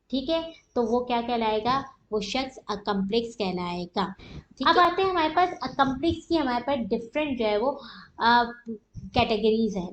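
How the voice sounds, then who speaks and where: Echoey, high-voiced Pakistani woman lecturing. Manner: didactic, asks and answers own questions. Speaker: law lecturer. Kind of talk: explanatory lecture with everyday examples. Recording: echoey room, dull muffled audio.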